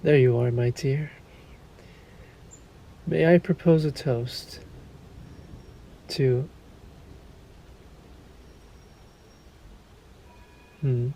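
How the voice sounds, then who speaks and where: Man playing a slow, gravelly vampire lord. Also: medium pitch, warm tone, slight background noise. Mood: silky menace. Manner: suave, menacing, drawn-out formal politeness. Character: sadistic, courtly male vampire lord toying with prey. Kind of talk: scripted roleplay audio drama, addressed to listener.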